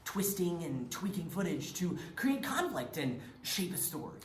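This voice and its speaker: Woman as candid TV editor, lower pitch, highly animated, dramatic phrasing, readers theatre, reverberant noisy room. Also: unhurried. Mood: frank, conspiratorial. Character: candid, self-justifying reality TV editor. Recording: echoey room, some background noise.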